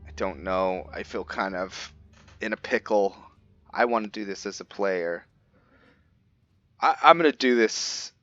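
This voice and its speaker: A low-voiced man talks through his roleplay game in a casual way. He goes slowly, stopping mid-sentence, on a slightly dull microphone with faint music underneath. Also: fairly bright tone. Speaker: gamer narrating a roleplay playthrough. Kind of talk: casual game commentary with in-character reading.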